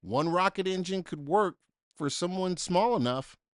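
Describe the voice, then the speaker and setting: An encouraging astronaut from a children's storybook, voiced by a man reading aloud. The voice is lifted in pitch and emphatic, with big swings, in a dry recording. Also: expressive, unhurried, dry recording. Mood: thoughtful. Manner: exclamatory, emphatic storybook delivery. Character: astonished, encouraging space mission commander and crew astronauts.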